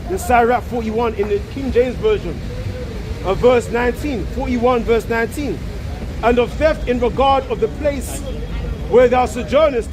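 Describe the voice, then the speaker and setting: A man's voice: extremely high pitch, normal speed, a crowd in the background. A man preaching outdoors on a street, repeating himself and calling listeners "man". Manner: repetitive, addresses listeners as "man", asks "you see what I'm saying". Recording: outdoor street recording with traffic noise. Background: traffic.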